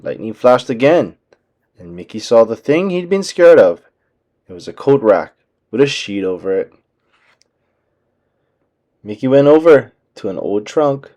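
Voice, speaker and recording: A man reading a children's book aloud as an expressive storyteller. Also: mid-range voice, measured pace, long pauses.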